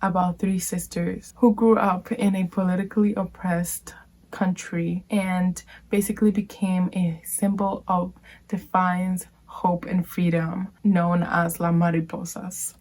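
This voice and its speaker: A woman's voice: fairly low pitch, flat delivery, measured pace, darkish tone. A woman from the Dominican Republic with a Dominican Spanish accent, a native Spanish speaker, earnestly recommending a book on her channel. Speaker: book reviewer. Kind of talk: booktube recommendation.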